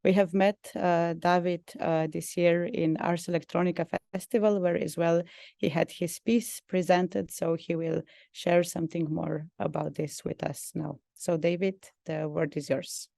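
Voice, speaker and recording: A woman's voice: on the low side, fairly even intonation, unhurried, gravelly, mellow. A woman hosting a webinar, giving a formal introduction of a speaker.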